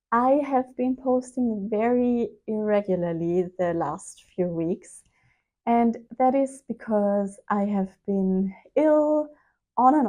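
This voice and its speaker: A woman in her thirties chats to camera from her sewing room, taking her time and filling pauses with ums. Her voice is soft and mellow, a little nasal from a lingering cold. She speaks into a clip-on mic. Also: mid-range voice. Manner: chatty, unhurried, with frequent ums. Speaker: sewing vlogger. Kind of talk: casual chat to camera. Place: home sewing room, indoors. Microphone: clip-on lapel mic.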